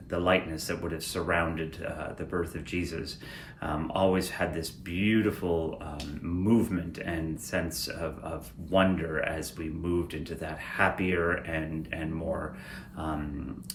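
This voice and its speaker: A man giving an informal explanatory talk on church music, thoughtful and hesitant, with frequent pauses. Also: sits low, fairly bright tone. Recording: roomy echo, clear background noise.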